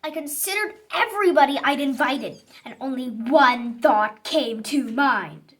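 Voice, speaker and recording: Woman playing a high-pitched, dramatic sour grape. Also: unhurried, a bit bright. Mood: bitter, brooding. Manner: dramatic, emphatic, growly outbursts. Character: sour grudge-holding grape, touchy, growling, theatrical. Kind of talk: children's picture-book read-aloud.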